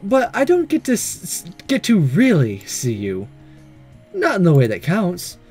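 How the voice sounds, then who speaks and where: A coy, flirtatious woman principal from a romance game, read out by a man, a little wounded. The delivery is halting and lively in pitch, with music in the recording. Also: husky, heavily acted. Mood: wistful.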